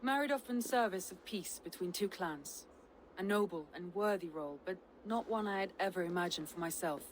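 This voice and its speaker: An actress playing a stoic Norse noblewoman with an adventurous heart, speaking earnestly in measured, formal phrases for a video game. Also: lowish voice, a little hiss or hum, close to an everyday voice, rough recording. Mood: wistful. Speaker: game character. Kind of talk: dramatic game cutscene acting.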